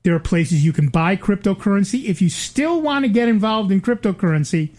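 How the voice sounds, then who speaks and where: A man's voice: high voice, lively intonation, brisk, a little mellow. An older man hosting a casual chat, rambling with many fillers and restarts and dry asides.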